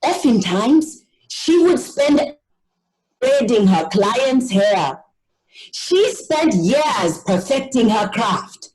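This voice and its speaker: A woman reading a children's story aloud as an expressive scripted narration. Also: fairly high pitch, very slow, poor audio.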